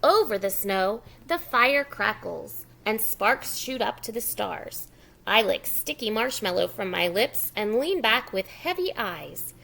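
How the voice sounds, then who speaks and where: A woman working as a preschool teacher, reading a picture book aloud expressively and pausing with encouraging, simple prompts for young children. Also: medium pitch, sing-song, vocal fry, sharp tone.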